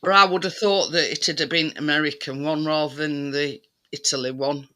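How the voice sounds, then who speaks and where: Bright, forceful man, higher-pitched. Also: fairly even intonation. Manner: rambling, halting, searching for words. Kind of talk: casual chat.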